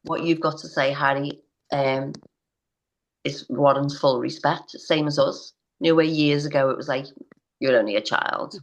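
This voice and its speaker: Low-pitched woman guest, heartfelt and halting. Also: steady tone, poor audio. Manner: earnest, hesitant, many restarts. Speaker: interview guest, parent. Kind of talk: personal story in interview.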